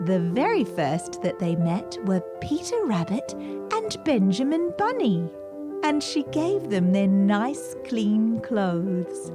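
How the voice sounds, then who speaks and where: A woman narrating a scripted children's story, measured and expressive, with dramatic emphasis. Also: medium pitch. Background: gentle background music.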